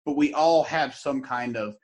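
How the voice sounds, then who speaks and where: A man's voice: mid-range voice, a little slow, darkish tone. A man hosting a podcast interview, asking rambling questions in a casual way and swearing freely. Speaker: podcast host.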